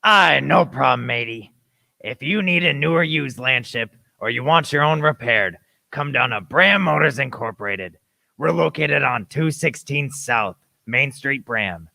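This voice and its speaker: Amateur radio ad: young man as gruff pirate mechanic, fry-laden, sharp-edged voice, pirate drawl. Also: medium pitch, expressive, unhurried, no room sound, full character voice. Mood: gruff, helpful. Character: gruff pirate mechanic advertising a repair shop.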